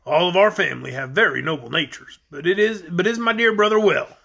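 A man's voice: a little high, expressive, quick pace, gravelly, sharp tone, breathy edge, voice pushed down, full character voice. A man voicing an earnest, courteous and somewhat anxious fellow in a storybook. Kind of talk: storybook character voice.

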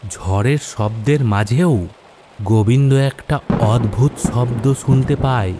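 Slow, dramatic male horror narrator. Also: medium pitch, warm tone, slight background noise. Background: background music.

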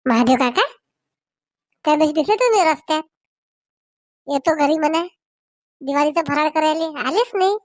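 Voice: high-pitched, expressive, normal speed, long pauses, no room sound, studio quality. A performer voicing an outspoken young village woman in a comedy cartoon, blunt and chatty. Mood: cheeky, chatty. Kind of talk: Marathi comedy cartoon dialogue.

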